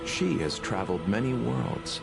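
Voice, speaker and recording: Low, lively, courteous suave schemer. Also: male voice, a little slow, vocal fry, full character voice, poor audio. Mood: smooth, persuasive. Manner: formal, polished, persuasive. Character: suave, calculating male schemer, courteous and eloquent. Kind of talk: dramatic game voice acting. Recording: loud background music.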